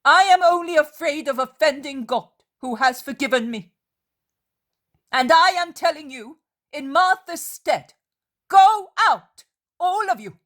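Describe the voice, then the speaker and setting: A woman's voice: sits high, unhurried, pitched above the performer's own voice. A woman voicing a fierce, devout woman of faith who commands in a thundering voice. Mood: furious. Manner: thundering, impassioned shouting.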